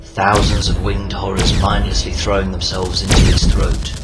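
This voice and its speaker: A man narrating a scripted war story in a solemn, portentous, dramatic manner. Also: sits low. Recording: loud music under the voice.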